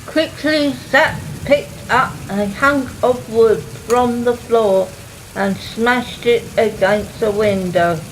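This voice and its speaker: A woman's voice: a little high, slow, a little hiss or hum, rough recording. A woman narrating a scripted Halloween radio story in a dramatic style.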